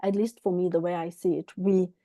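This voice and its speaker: A woman from Zimbabwe giving a candid, earnest personal account as a guest author at a book club, often halting as she reflects. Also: fairly low pitch, flat delivery, warm tone, no room sound, clean studio sound.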